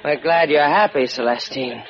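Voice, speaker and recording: An actress playing a cool, sassy adventuress sidekick with a low-pitched voice, dry and wisecracking in a 1950s radio drama. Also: female voice, normal speed, slight background noise, close to an everyday voice. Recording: dull 1950s radio recording, faint music.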